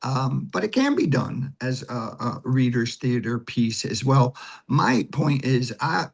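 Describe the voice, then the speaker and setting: Husky older man, emphatic interview guest. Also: medium pitch, big pitch swings, slow pace. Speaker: stage actor and director, guest. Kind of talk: conversational interview talk.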